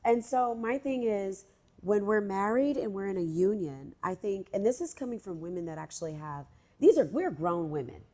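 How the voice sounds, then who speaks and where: A woman's voice: medium pitch, moderate pace. A woman co-hosting a frank conversation, her voice slightly nasal. She talks emphatically, leaning into punchlines and slipping into quoted voices to make her point. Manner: emphatic and animated, acts out quotes. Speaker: podcast co-host. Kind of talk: candid casual chat.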